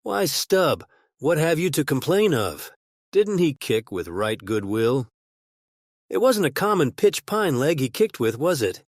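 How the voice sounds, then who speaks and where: A man's voice: fairly high pitch, sing-song, measured pace, dry recording, clean studio sound, exaggerated voice. A man voicing an old humpbacked merman who argues and lectures in a coaxing, oratorical way. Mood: pompous persuasion. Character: old humpbacked badger-haired merman, muttering, cajoling and lecturing.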